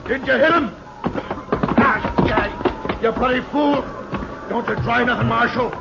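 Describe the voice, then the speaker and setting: An actor playing a frantic, murderous Old West outlaw, yelling strained threats in 1950s radio drama style. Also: male voice, very high voice, slow pace, gravelly, loud music behind the voice, exaggerated voice. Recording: muffled, noisy vintage radio recording.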